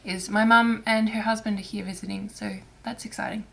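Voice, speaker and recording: A woman hosting her own crafting vlog in casual chat, her voice hoarse and raspy from throat irritation, talking softly and haltingly with frequent restarts. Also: medium pitch, little pitch movement. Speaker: cross-stitch vlogger.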